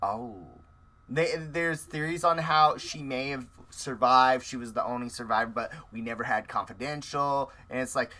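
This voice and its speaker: A man hosting a relaxed chat with cosplay guests. His voice is mid-pitched and bright, and he rambles from one tangent to the next in an animated way, laughing often. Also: moderate pace. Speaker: interview host. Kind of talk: casual interview chat.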